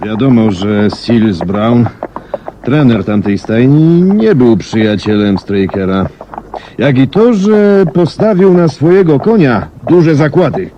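An actor playing an earnest gentleman colonel in a 1970s Polish radio play, speaking formally, courteously and matter-of-factly. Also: mid-range voice, animated, takes it slowly, lo-fi sound. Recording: noisy archival 1970s radio recording.

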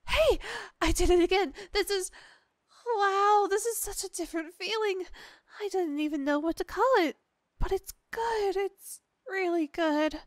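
A woman's voice: very high-pitched, measured pace, no room sound, clean studio sound. A woman playing a clingy, insecure, devoted young yandere girlfriend in an ASMR roleplay, hesitant and gushing. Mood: happy, adoring. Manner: gushing, hesitant, breaking off and restarting.

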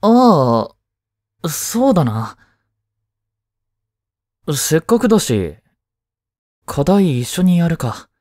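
Man acting expressive, jealous lovestruck schoolboy. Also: on the high side, slow pace, long pauses, no room sound, clean studio sound. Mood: awkward, cheeky. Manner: boyish, hesitant, muttering to himself. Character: jealous, flustered teenage boy in love with childhood friend. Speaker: amateur voice-drama actor. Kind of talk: Japanese romantic voice drama acting.

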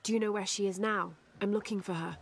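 An actress with a British accent playing a calm, determined young adventurer in a video game. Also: female voice, mid-range voice, fast, vocal fry, slightly rough. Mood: kind, coaxing. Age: young adult. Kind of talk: video game cutscene acting.

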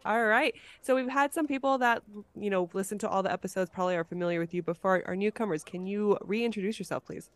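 A woman's voice: mid-range voice, quick pace, few pauses, dry recording. A woman hosting a podcast in a casual, conversational interview style. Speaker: podcast host.

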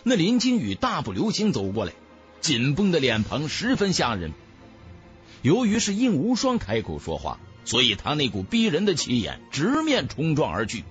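A man narrates a fantasy novel as a dramatic audiobook. His voice sits a little high and moves very expressively, with faint music underneath. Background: background music.

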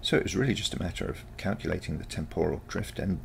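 A man voicing a calm, confident teenage granddaughter from the future, matter-of-fact and explanatory, in a storybook. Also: low-pitched, fast talker, gravelly, slight background noise. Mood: unconcerned. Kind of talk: storybook character dialogue.

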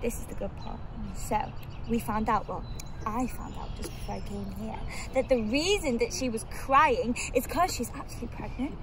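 A woman's voice: on the high side, moderate pace, lightly acted. An actress playing a chatty young British girlfriend in a naturalistic audio drama, gossipy and a little impatient. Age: young adult. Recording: outdoor ambient noise.

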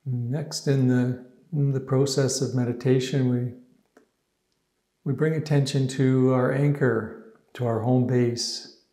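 Male meditation teacher, very even and soft-edged tone, soothing and unhurried, in a roomy echo. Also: medium pitch. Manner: unhurried, gentle pauses, soothing. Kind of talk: guided meditation instruction. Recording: echoey, roomy sound.

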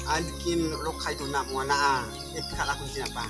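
Young man, relaxed skit banter, outdoors, distant camera, music behind. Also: fairly high pitch, moderate pace. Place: outdoors in a village yard. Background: background music.

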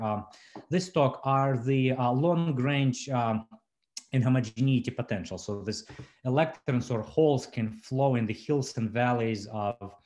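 A man's voice: mid-range voice, darkish tone. Guy giving a physics talk on a laptop call, lots of uh and um, restarts a lot. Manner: hesitant, many fillers and restarts. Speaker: physics lecturer. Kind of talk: academic seminar talk over video call. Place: home room indoors. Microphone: laptop webcam on video call.

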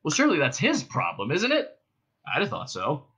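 A man's voice: on the high side, wildly swooping pitch, normal speed, fairly bright tone, husky, heavily acted. A man voicing an incredulous male space traveller in a comic sci-fi audiobook, protesting in bewilderment. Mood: astonished.

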